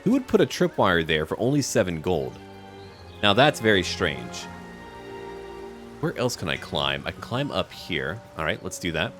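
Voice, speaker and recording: A man with a deep voice talks through his game, thinking aloud and calling to his party in a chatty, relaxed way. His pitch swings lively, and soft music plays underneath. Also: moderate pace. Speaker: gamer playing a role-playing game. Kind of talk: casual let's-play commentary.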